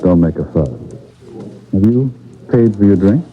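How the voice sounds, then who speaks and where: Calm bossy detective, lowish voice, dry, muffled old radio show w a bit of hiss. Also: male voice, unhurried. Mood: quietly menacing. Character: cool, authoritative male detective boss, dryly probing. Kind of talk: 1970s radio mystery acting. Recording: dull, faintly noisy vintage radio broadcast.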